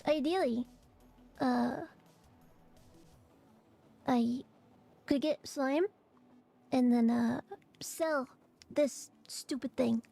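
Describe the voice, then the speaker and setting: A young woman streamer chatting casually and rambling with fillers, her voice a little hoarse and raspy from allergies. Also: high voice, steady tone, drawn-out words, pauses often, dark tone, soft background music. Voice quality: raspy, phlegmy throat. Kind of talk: casual chat to stream viewers.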